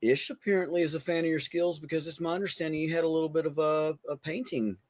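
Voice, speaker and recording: A man's voice: on the high side, fairly even intonation, fast talker, dry recording. A man co-hosting a podcast in loose, casual chat, blunt and joking with some swearing. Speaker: podcast co-host. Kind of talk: casual chat among friends.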